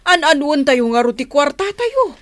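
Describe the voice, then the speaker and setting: Radio drama part: concerned woman, questioning, animated intonation. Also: high voice, normal speed.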